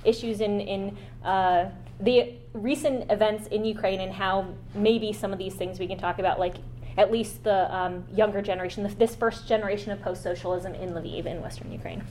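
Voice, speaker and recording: A woman guest lecturer giving an academic talk, partly read aloud, halting at times with fillers and restarts. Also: mid-range voice, fairly even intonation, mellow, slight background noise, rough recording.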